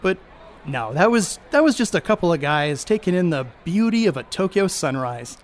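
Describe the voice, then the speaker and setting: A man's voice: high voice, very animated, moderate pace, slight background noise. A man playing a brash, boastful, crude baseball star in an audio drama, with folksy slang. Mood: evasive, folksy. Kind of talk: audio drama acting, 1930s setting.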